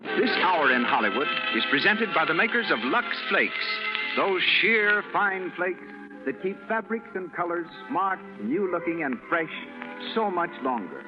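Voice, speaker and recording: A man's voice: high voice, lively intonation, measured pace. An American man announcing and advertising in scripted 1930s radio style. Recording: muffled 1930s radio recording. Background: music.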